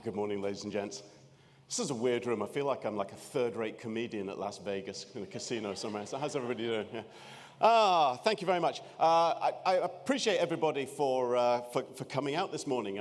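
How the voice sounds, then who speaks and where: Scottish man, fifties, wry keynote speaker, mid pitch, long hall reverb. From Scotland. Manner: wry, self-deprecating, many restarts. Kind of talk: humorous keynote talk. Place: large conference room.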